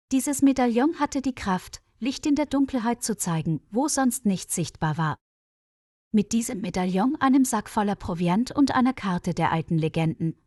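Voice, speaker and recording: Female storyteller for scripted fairy-tale narration, mid-range pitch, very dry recording. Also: a little mellow, no echo.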